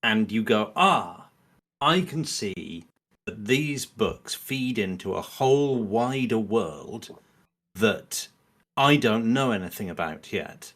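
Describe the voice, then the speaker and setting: A middle-aged man with a fairly low voice chats casually as a podcast guest. He speaks earnestly and haltingly, reworking his sentences as he thinks aloud. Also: expressive, a bit bright.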